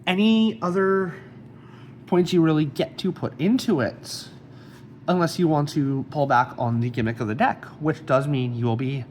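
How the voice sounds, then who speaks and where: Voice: mid-range voice, moderate pace, a little mellow, a little hiss or hum. A card game deck reviewer with a slight cold, casually explaining card effects one by one.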